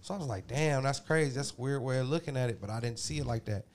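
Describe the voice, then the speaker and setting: Regular-voiced dude musing out loud, slangy, bit of faint noise behind. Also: male voice, medium pitch. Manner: musing, slangy, drawn-out thoughts. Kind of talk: casual reflective chat.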